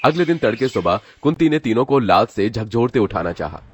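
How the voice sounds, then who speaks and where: A man's voice: mid-range voice, sing-song, a little fast, breathy edge, lo-fi sound. A man narrating a scripted dramatic story as its storyteller.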